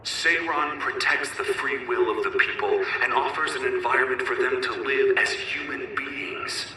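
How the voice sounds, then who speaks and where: An actor playing a stern military rebel leader, delivering a defiant, commanding public proclamation in a dramatic video game. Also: male voice, mid-range voice. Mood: rousing, resolute. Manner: oratorical, forceful, declamatory. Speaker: rebel leader broadcasting a speech. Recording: roomy, distant broadcast sound, faint noise.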